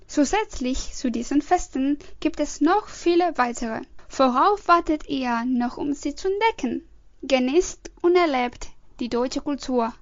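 A woman reading a prepared talk as a student presenter, slow and deliberate. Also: high-pitched, vocal fry, no room sound. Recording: dull, dry lo-fi recording.

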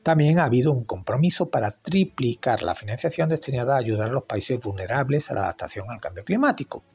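A man reads a commentary segment with a gravelly, husky voice that rises and falls a lot. His delivery is wry and critical. Soft music plays underneath. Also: on the low side. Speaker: environmental commentator. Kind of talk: scripted opinion segment.

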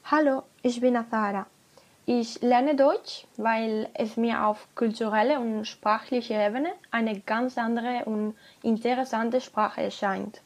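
Slow, higher-pitched woman. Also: steady tone. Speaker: language student. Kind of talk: prepared self-introduction.

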